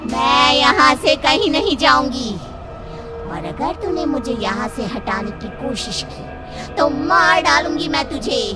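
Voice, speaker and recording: Shrill, menacing female spirit. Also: big pitch swings, slow, over music, exaggerated voice. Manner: threatening, defiant. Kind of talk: dramatised horror story.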